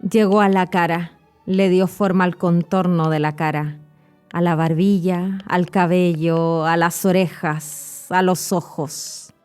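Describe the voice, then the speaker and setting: Chilean lady teaching spanish thru a horror story, clear n measured, slows down for the creepy parts. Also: fairly low pitch, little pitch movement, darkish tone, soft background music. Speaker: podcast host and Spanish teacher. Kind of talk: storytelling narration for learners.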